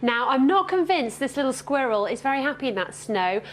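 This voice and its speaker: A British woman presenting in an animated, playful, chatty vlog style. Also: high voice, fairly quick, a little hiss or hum.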